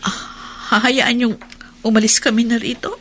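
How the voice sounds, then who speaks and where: A woman acting in a radio drama as an anguished, defiant mother, with tearful, highly emotional delivery and a Filipino accent. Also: level tone, normal speed, fairly bright tone, slight background noise, natural voice. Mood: worried. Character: anguished, defiant young mother trapped with a cruel man.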